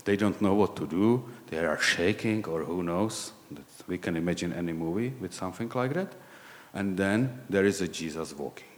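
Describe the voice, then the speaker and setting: A man in his forties with a Czech accent, a lay preacher giving a sermon in a halting, candid way, often searching for words. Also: low-pitched, long reverb tail. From Czech Republic.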